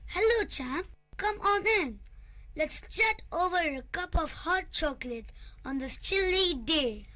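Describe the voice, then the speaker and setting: A very high, slow-talking, cheeky little male creature, as a woman plays him, exclaiming and fussing like an excited child. It is storybook voice acting with an easy, stretched-out delivery. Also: faint music behind, heavily acted.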